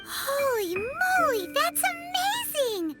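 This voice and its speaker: A woman voicing a tiny, excitable, naive fairy companion in an anime video game, with a squeaky childlike voice. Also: slow, heavily acted. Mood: amazed. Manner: speaks of self in third person, exclaiming. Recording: background music.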